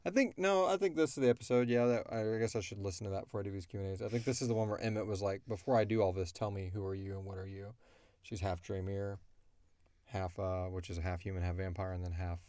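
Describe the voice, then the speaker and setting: A man with a fairly low voice talks as a show host. His delivery is informal and animated, with emphatic points in a casual chat. The sound is dry, with little room on it. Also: quick pace, clean tone, dry recording. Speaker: host and creator of an audio drama podcast. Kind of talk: casual chat answering listener questions.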